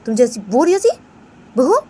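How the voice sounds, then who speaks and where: A woman's voice: high-pitched, big pitch swings, a little fast, warm tone, slightly rough, pitched above the performer's own voice, heavily acted. A woman voicing a grandmother who chides her daughter-in-law in a reproachful tone. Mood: chiding. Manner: chiding, reproachful. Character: scolding grandmother, reproachful elder.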